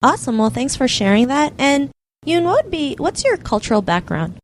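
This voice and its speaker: A woman's voice: high voice, big pitch swings, brisk, a little hiss or hum. A woman hosting a podcast in an upbeat interviewing style. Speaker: podcast host.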